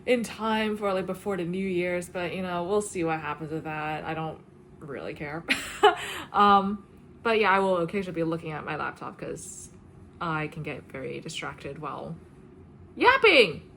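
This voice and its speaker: A woman's voice: on the low side, fairly bright tone, a little hiss or hum. A young adult woman, a classical singer, talking casually to camera in a meandering, chatty way, full of "um" and "like". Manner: chatty, meandering, frequent "um" and "like", light laughs. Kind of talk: rambling casual chat to camera.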